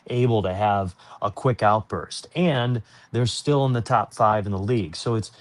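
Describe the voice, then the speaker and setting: A man's voice: fairly low pitch, normal speed. A man working as a sports announcer, answering interview questions in an earnest, informal, explanatory way.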